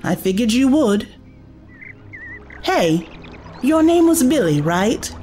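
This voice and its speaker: A woman's voice: a little high, big pitch swings, slow, mellow, soft background music. A woman playing a caring, bold young companion to an adventurer, earnest and coaxing, in an audio drama. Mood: determined.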